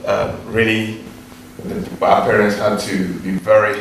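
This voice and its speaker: A middle-aged Ghanaian man with a fairly low voice, speaking in a measured way and stopping on fillers now and then. He sits well back from the mic, and the room is heavily echoey with a faint hiss. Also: little pitch movement, creaky, fairly bright tone, distant mic. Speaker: guest, teacher. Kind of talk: interview.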